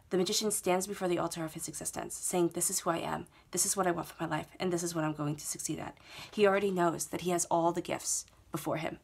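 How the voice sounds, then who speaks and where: A woman with a stuffy head cold reads tarot cards laid out on a table at home. Her voice sits a bit low, and she talks in a soothing, reassuring way, repeating herself and often checking in with "okay?". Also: vocal fry, husky. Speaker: tarot reader. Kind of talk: monthly tarot reading to camera.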